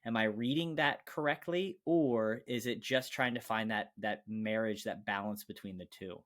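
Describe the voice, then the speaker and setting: A man co-hosting a podcast, asking questions in a measured way and checking his understanding. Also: lowish voice, a bit bright. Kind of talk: interview questions.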